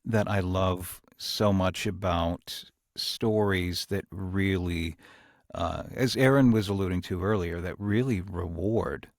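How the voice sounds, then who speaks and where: Man, deep voice, slow pace, reflective podcast talk, thoughtful, searches for words. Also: dry recording, studio quality. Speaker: audio drama writer and creator.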